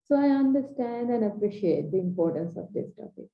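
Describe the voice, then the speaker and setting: A woman from Sri Lanka with a Sri Lankan English accent, giving an earnest, measured coaching talk in short, paused phrases. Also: on the high side, animated. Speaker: transformational coach. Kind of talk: slow reflective training talk. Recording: dull echoey room recording.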